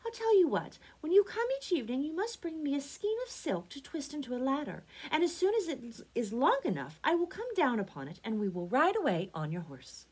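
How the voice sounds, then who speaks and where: A woman's voice: high voice, sing-song, a little fast, few pauses, a little mellow, voice pushed up, poor audio. A woman voicing a naive, sweet young storybook maiden in a girlish manner. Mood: eager. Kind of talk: storybook character voice.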